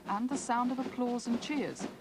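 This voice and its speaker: A woman's voice: a little high, normal speed. A British woman reading scripted narration for a television news film. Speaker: documentary reporter, narrator. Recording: voice-over with clear background noise.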